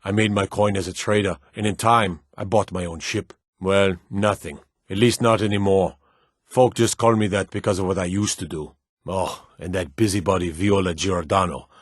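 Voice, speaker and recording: A weathered Nordic townsman and guard for a fantasy video game. His voice is low and husky, recorded very dry and close. He is gruff and dutiful, speaking in a measured, weary, plain-spoken way. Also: fairly even intonation, no echo. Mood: reflective, courteous.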